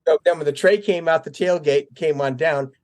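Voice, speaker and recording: A man's voice: a little high, fairly even intonation, normal speed, darkish tone. An older man, a military veteran being interviewed, tells stories casually and acts out remembered dialogue as he goes. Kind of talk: casual war-story telling.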